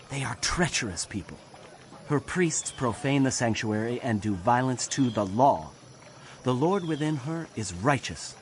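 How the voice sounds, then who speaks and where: Grave, slow-speaking prophet narrator. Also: male voice, on the high side, slight background noise. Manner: solemn, declamatory, deliberate. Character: grave male prophet proclaiming doom and hope. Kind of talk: dramatic scripture narration.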